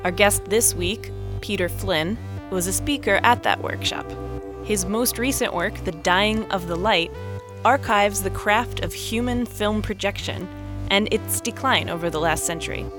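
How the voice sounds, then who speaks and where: A woman's voice: medium pitch, measured pace, over music. A woman co-hosting a podcast, opening with an upbeat scripted intro and asking questions. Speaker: podcast co-host. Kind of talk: podcast interview.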